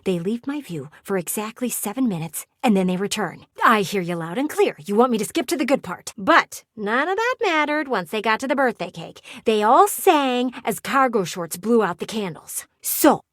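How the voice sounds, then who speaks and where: Gossipy, animated talking window. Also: female voice, a little high, normal speed, hardly any pauses, no room sound, clean studio sound. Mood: gossipy, intrigued, then impatient, teasing. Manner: conspiratorial, theatrical storytelling with teasing asides. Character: gossipy, dramatic talking house window, female, nosy and sassy. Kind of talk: video game voice acting.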